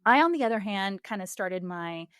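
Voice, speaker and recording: A woman's voice: medium pitch, expressive, normal speed, fairly bright tone, clean tone, no room sound, studio quality. A woman in her forties from Puerto Rico, a singer and voice coach, speaking candidly and reflectively in a podcast interview, with frequent fillers and laughs. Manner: earnest, reflective, laughs often, many fillers.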